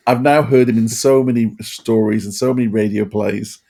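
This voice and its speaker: Guy podcast host chatting casually, soft mellow tone, kinda halting and repeats himself. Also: lowish voice, steady tone. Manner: rambling, halting, repeats phrases. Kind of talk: casual review chat.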